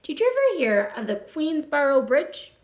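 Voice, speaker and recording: A woman's voice: high-pitched, very animated, normal speed. A woman voicing a wise, encouraging female spider in a storybook, patient and instructive. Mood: consoling, matter-of-fact. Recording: roomy echo.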